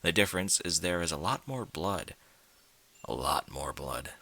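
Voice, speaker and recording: A man's voice: rumbling low, lively intonation, normal speed, creaky, sharp tone, no echo. A man reading fan fiction aloud as a theatrical, emphatic narrator. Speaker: story narrator.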